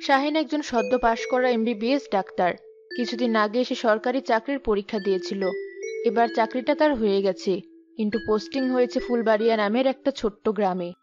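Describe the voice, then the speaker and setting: A woman narrator with a slightly high voice reads a scripted story. She tells it in storybook style, with music playing underneath. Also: fast, muffled recording.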